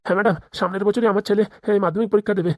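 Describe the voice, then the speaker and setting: A woman's voice: mid-range voice, steady tone, very fast, dry recording, clean studio sound, lightly acted. A woman voicing a flustered, grovelling underling officer in a comedy cartoon, stammering and eager to please. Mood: nervous, pleading. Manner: stammering, obsequious. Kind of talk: Bengali comedy cartoon dialogue.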